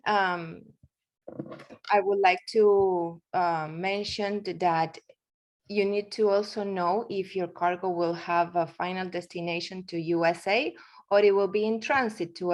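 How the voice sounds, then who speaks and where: Argentine woman, low voice, halting talk. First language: Spanish. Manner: halting, frequent fillers and restarts. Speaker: guest speaker. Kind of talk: webinar presentation.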